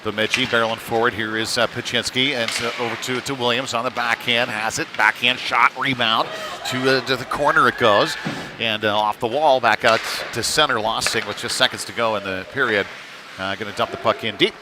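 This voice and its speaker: A man doing live play-by-play of a youth hockey game, talking in a halting, conversational way with folksy slang. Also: medium pitch, fairly even intonation. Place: indoor ice rink during a live game. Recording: noisy rink, clear background ambience. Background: rink noise and game ambience.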